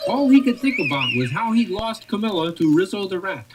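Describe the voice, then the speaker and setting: Animated woman reading a storybook aloud. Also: mid-range voice, a little fast, noisy background. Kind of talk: read-along story narration.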